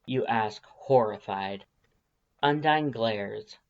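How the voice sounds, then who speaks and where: An audiobook narrator reading second-person fan fiction in an expressive storytelling style. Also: mid-range voice, slow, highs cut off.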